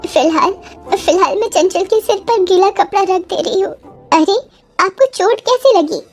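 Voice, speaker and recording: Anxious, pleading young village wife for a moral tale, female performer, respectful, plaintive, very high pitch, music beneath. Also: normal speed. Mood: concerned, pleading. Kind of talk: moral-story drama.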